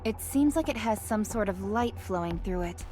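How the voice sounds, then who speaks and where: A woman's voice: mid-range voice, animated, moderate pace, mellow, soft background music, close to an everyday voice. A woman voicing a composed, protective female military bodyguard in a video game, formal and concise. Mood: composed, watchful.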